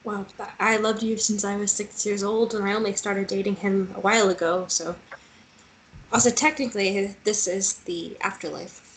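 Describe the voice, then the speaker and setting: A woman's voice: medium pitch, steady tone, moderate pace, lightly acted, low-quality recording. Casting: actress as young female romantic lead, playful and forward, natural table-read delivery, noticeable background noise. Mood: matter-of-fact.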